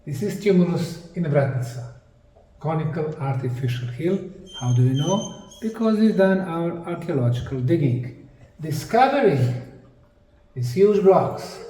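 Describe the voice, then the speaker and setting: A man with a Bosnian accent giving an explanatory presentation, speaking emphatically in short, dramatic phrases with pauses. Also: on the high side, warm tone, slight background noise. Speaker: presenter, researcher. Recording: echoey room, distant microphone.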